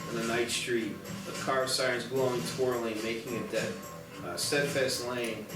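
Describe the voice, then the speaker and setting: A man narrates screenplay action in scripted prose. His mid-pitched voice sounds distant and roomy, with music clearly playing behind him. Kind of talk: scripted narration at a table read. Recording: echoey, distant mic, loud background music.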